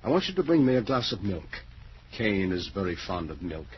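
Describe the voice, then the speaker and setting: Muffled vintage radio, faint noise, cool manipulative schemer, low voice, coaxing, condescending. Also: male voice, big pitch swings, a little fast. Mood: coolly amused. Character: scheming, coolly amused, manipulative wheelchair-bound man. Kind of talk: 1940s radio horror acting.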